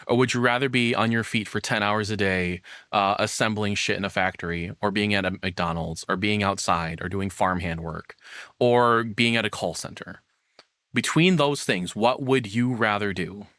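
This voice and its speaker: A man's voice: on the low side, moderate pace, fairly bright tone, no room sound, clean studio sound. A man giving casual, rambling, opinionated livestream commentary with some swearing. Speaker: streamer reacting to a video.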